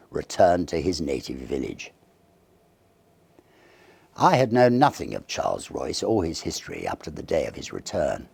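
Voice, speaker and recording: A man reading a Victorian ghost story aloud in a measured, dramatic and expressive storytelling manner. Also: low-pitched, creaky, breathy edge, no echo. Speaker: audiobook narrator.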